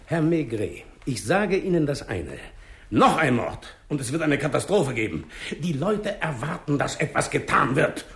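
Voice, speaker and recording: An actor playing a pompous, irritated town mayor who scolds sarcastically in a 1960s German radio crime drama. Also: male voice, on the high side, very animated, moderate pace, a bit bright. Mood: threatening, indignant.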